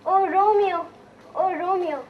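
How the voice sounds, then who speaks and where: A woman's voice: extremely high pitch, slow pace, lo-fi sound. A young woman acting a bossy, impatient young woman in a comic school Shakespeare parody, projecting on stage. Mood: demanding, theatrical. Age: young adult. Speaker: student actor in a school play. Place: school stage, recorded from afar. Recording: distant stage recording with background noise.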